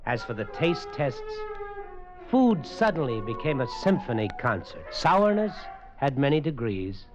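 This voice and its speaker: An actor playing a thoughtful man with trained super senses, narrating and talking in a 1950s radio drama. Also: unhurried. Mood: reflective narration. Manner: earnest, thoughtful, lively in pitch. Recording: dull old radio broadcast with faint music.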